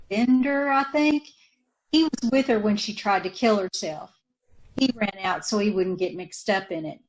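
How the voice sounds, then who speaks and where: A woman's voice: mid-range voice, natural voice, poor audio. A woman acting in an old-time radio drama, playing a witness who tells the police about a troubled friend in a plain, conversational way. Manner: plain, conversational storytelling with slangy phrasing.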